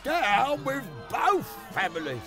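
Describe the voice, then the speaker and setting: A man's voice: very high voice, wildly swooping pitch, takes it slowly, full character voice. An actor playing an agitated Renaissance townsman in a street brawl, urgent and exclamatory, in a storybook drama. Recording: music behind the voice.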